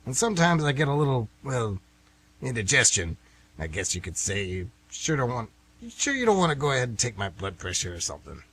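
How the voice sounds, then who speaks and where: A man's voice: mid-range voice, big pitch swings, a little fast, fairly bright tone, breathy edge, full character voice. An actor playing a folksy, grumbling retired man who rambles and gets defensive, in a radio drama. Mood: grumbling, chatty. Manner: rambling, folksy, plain-spoken.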